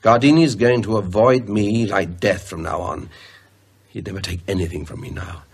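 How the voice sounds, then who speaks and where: A haunted man in a horror radio drama, with a deep and very rough, breathy voice. He speaks gravely and deliberately, brooding over his fate yet protective of his friends. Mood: grave, resigned. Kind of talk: horror radio play acting.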